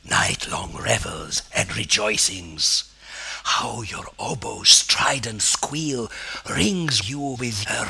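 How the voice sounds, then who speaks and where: A man reciting poetry in a theatrical, declamatory manner, with weighty pauses and a husky, gravelly, breathy voice. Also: lowish voice, bright, forceful tone. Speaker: poetry reader.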